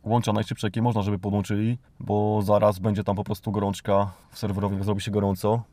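A man's voice: lowish voice, little pitch movement, quick pace, warm tone, no room sound. A Polish man hosting a podcast, delivering a tongue-in-cheek monologue in a mock-earnest, exhorting manner. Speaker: podcast host.

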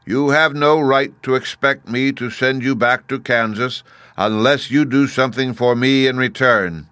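A man voicing a great, stern wizard who is a giant talking head in a storybook, speaking slowly, emphatically and with command. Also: medium pitch, fairly even intonation, bright, forceful tone, clear, resonant tone, no echo, voice pushed up. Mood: imperious. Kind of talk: storybook character voice.

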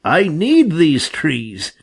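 A man voicing a calm, wry and polite male beaver in an animal storybook, teasing and unruffled. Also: on the high side, drawn-out words, gravelly, slightly rough, voice pushed up, exaggerated voice. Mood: firm retort.

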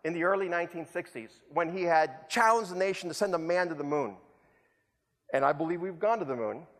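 Male preacher, high voice, rhetorical and self-mocking, echoing hall. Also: animated, fairly quick. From El Salvador. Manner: rhetorical, repetitive, self-deprecating humor. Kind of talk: sermon. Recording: long hall reverb.

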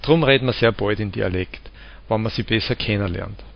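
A man with an Austrian accent from the Vienna area, calmly explaining dialects and giving spoken examples. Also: fairly low pitch, moderate pace, gravelly. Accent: Austrian German, Lower Austria/Vienna. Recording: dull lo-fi recording.

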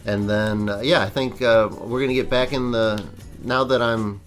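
A man's voice: mid-range voice, fairly even intonation, normal speed. A man chatting loosely on his podcast, his voice a little congested from allergies. He rambles and jokes with plenty of fillers, and faint music sits behind him. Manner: rambling, joking, many fillers. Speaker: podcast co-host. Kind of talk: casual chat.

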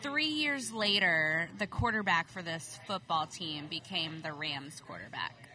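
Animated female guest with a bright tone, chatty and laughing through her stories. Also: on the low side, a little slow, rough recording. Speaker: podcast guest. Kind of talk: casual chat.

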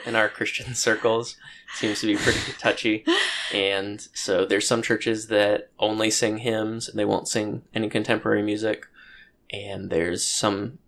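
Low gravelly man, thoughtful and halting. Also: a bit bright, a little hiss or hum. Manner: thoughtful, hesitant, many ums and restarts. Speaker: podcast co-host. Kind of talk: casual chat.

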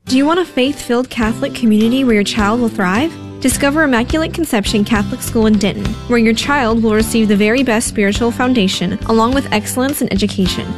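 A woman reads a radio ad in an inviting tone. Her voice sits slightly high, and clear music plays underneath. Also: quick pace, few pauses. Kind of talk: radio ad read.

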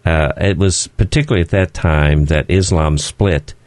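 Deep-voiced man explaining slowly on radio. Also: a little mellow, dry recording. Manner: slow, halting, many "uh" fillers. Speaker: studio guest. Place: radio studio.

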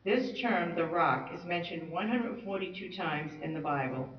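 High-voiced man teaching a Bible lesson. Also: expressive, normal speed. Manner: earnest, conversational teaching with light humor. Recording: roomy, distant, dull-sounding.

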